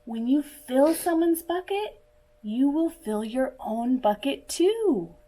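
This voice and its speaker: Slow, mellow woman reading to children. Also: high-pitched, expressive, rough recording. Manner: asks listeners questions and pauses for answers. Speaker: children's story reader. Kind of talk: read-aloud picture book for young children.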